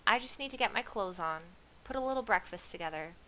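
A woman reader puts on a blustery, scatterbrained shepherd woman from a storybook. She is bossy and insistent, with a bright, pushy voice, over a faintly hissy recording. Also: medium pitch, lively intonation, fast talker, low-quality recording. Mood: bossy, unhurried. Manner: exclaiming, insistent, brushing objections aside. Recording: clear background hiss.

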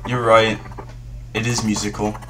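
A man's voice: fairly low pitch, flat delivery, unhurried. A young man in casual chat on an online call, teasing and egging his friend on. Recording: very noisy online voice chat with clicks.

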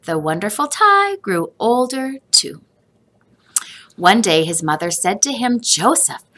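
A woman reads a children's story at an unhurried pace. Her delivery is highly expressive and lilting, with a bright, clear-cut tone. She lingers over repeated phrases in a storytime style. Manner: animated, deliberate, sing-song repetition of phrases. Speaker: teacher reading a picture book aloud.

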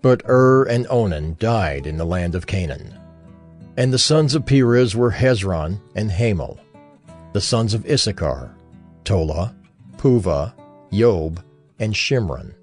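A man with a low voice narrates scripture slowly and solemnly. His intonation moves widely, and soft music plays behind the reading. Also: lively intonation. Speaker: Bible narrator.

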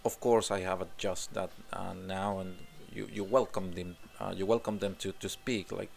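A man's voice: low voice, steady tone, moderate pace, gravelly. A man in his forties from Chile, speaking English with a Chilean Spanish accent, answers interview questions at home into a desk mic and often repeats words while he searches for them. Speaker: job applicant, English teacher. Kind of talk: self-recorded interview answers. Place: home room with guitars on the wall. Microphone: desk condenser mic in frame.

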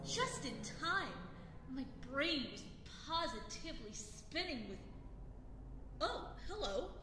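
A woman voicing a boastful, pompous boy adventurer in an old-fashioned radio drama, with archaic, grandiloquent speech that swings from loud to hushed. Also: high-pitched, takes it slowly, over music, heavily acted. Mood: grandiose. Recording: steady background noise.

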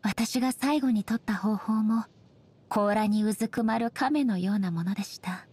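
A woman voicing a dignified, ageless shogun goddess in a game, speaking softly in formal, measured, polite phrases. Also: mid-range voice, husky, lightly acted. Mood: solemn, measured. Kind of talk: scripted game character voice lines.

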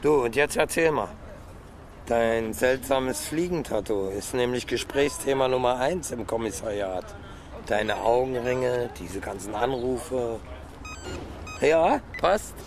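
A police detective in a radio play, mid-pitched and colloquial. He is chummy but sardonic, talking over clear city traffic noise. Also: animated, slow pace. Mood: teasing. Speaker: actor in a radio crime drama. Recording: loud urban street noise.

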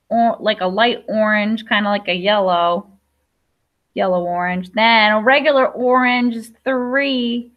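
A woman's voice: fairly high pitch, lo-fi sound. A young adult woman teacher reading a picture book aloud to young children, explaining simply with questions and asides. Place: classroom.

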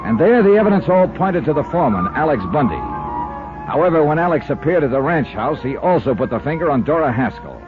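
An actor playing a cool, wry investigator in a 1950s radio drama, measured and calm under threat. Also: male voice, on the high side, over music. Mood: brisk, narrating. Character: cool, wry male insurance investigator hero. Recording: dull old radio recording.

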